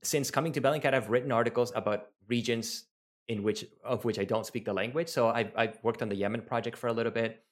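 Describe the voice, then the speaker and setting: A man from Venezuela whose first language is Spanish, hosting an online talk in a relaxed, rambling way with many fillers. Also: mid-range voice, fast talker. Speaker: talk host and researcher. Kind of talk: casual online talk, taking questions.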